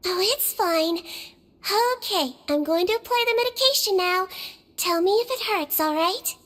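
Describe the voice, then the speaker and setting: A woman voicing a small, gentle, girlish nonhuman head nurse in a video game, speaking calmly and reassuringly. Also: very high-pitched, measured pace, heavily acted. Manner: calm, reassuring, clinical.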